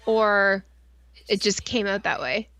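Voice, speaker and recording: A woman's voice: mid-range voice, takes it slowly, fairly bright tone, dry recording. A woman co-hosting a casual stream, joking crudely in a blunt, profane, irreverent way. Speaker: co-host of a weekly pop culture stream.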